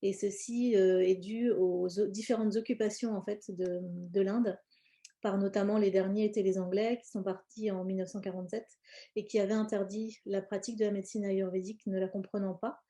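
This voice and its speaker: A woman presents in an informal, explanatory way. Her voice is soft-edged and mellow at a medium pitch. She often hesitates and pauses partway through her phrases. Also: little pitch movement. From born in India, grew up in France. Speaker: presenter, cosmetics brand founder.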